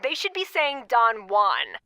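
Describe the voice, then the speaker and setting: A woman's voice: on the high side, huge pitch swings, takes it slowly, pushed and bright, no room sound, studio quality, full character voice. A woman playing a pedantic, bossy, condescending fan phoning in complaints in a comedy sketch. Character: pedantic, nitpicking female fan complaining by phone. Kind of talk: audio sketch comedy acting.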